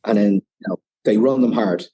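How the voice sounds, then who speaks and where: Irish male guest, lowish husky voice, rambling explanatory podcast talk. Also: moderate pace, dark tone. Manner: explains at length, peppered with "you know". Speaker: whiskey ambassador guest. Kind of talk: casual podcast interview.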